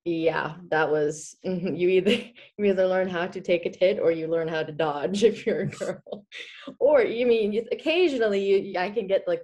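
A woman in her twenties from the Czech Republic, chatting as a guest in a video-call interview, animated and quick to laugh. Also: fairly low pitch. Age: 20s. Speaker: guest, former college hockey player. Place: small indoor room. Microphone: laptop webcam on video call.

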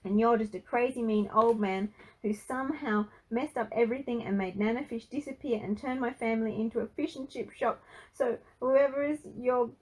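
Woman voicing a frightened, defiant young grandson, pitched up. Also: normal speed, warm tone, husky, low-quality recording. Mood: defiant, angry. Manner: pleading, stuttering when alarmed.

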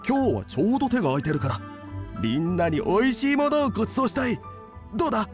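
An actor playing an easygoing, confident young inn chef with a hospitable manner, in anime game dialogue. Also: male voice, high voice, big pitch swings, fast. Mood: friendly, inviting. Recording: music clearly behind the dialogue.

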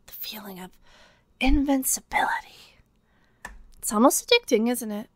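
A woman playing a teasing, obsessed female detective in a whispery, deliberate ASMR roleplay. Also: fairly high pitch, lightly acted. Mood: seductive, intimate. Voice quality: breathy, whispery. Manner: slow, deliberate, teasing, pausing. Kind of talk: ASMR roleplay, scripted intimate monologue.

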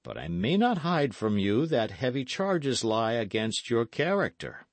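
Man playing a stately, slow-spoken stern earl. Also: mid-range voice, sing-song, no echo. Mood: stern, grave. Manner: formal, weighty, deliberate archaic speech. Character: stately, stern but fair-minded English earl.

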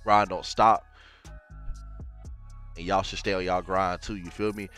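A young man talks to his viewers from a home streaming setup, his voice a little low and stuffy from a cold. He keeps things laid-back and chatty, throwing in "man" and "bro" as he explains his game build. Faint music plays softly underneath. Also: flat delivery, measured pace. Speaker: gaming YouTuber. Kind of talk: casual game walkthrough. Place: home gaming setup, gaming chair.

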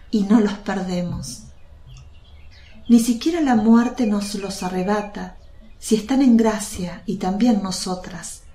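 A woman acts a serene, consoling mother in a religious radio play. Her mid-pitched voice is gentle and earnest, set in a roomy echo with birdsong. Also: lightly acted. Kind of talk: Spanish religious radio drama.

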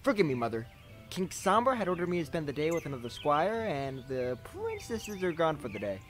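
An actor playing a young, dutiful, easily flustered male unicorn squire, hesitant and expressive, in an animated series. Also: medium pitch, fairly quick. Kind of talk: animated fan series voice acting. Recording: music behind the voice.

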